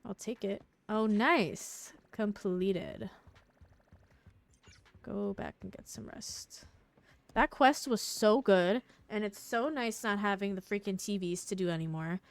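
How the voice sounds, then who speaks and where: A woman's voice: medium pitch, slow pace. A woman streaming a game, giving casual, slangy live commentary and reacting aloud as she plays.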